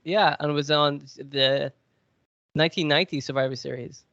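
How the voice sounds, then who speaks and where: A man's voice: fairly high pitch, steady tone, normal speed, vocal fry, fairly bright tone, no echo, dull audio. A man with a cold, sniffly, chatting and joking as he hosts a podcast quiz. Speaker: podcast co-host. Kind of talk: casual podcast chat.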